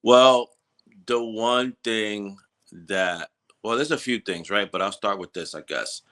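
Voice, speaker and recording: Man, New York accent, very deep voice, loose, slangy, hesitant. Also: slow, fairly bright tone. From New York City, Washington Heights. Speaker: guest, cannabis business co-founder. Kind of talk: casual interview chat.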